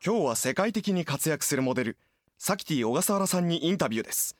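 A man's voice: on the high side, lively intonation, quick pace, dry recording, clean studio sound, faint music behind, lightly acted. A man playing an eager interviewer and announcer in a scripted radio advertisement. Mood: polished, eager.

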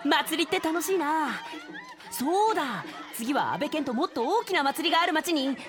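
A woman's voice: high-pitched, normal speed, over music. A woman playing a stubborn, proud young male cat samurai in training, speaking brusque boyish Japanese with catlike sounds in a comic radio drama. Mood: excited, delighted. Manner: brusque, excitable rough boyish speech.

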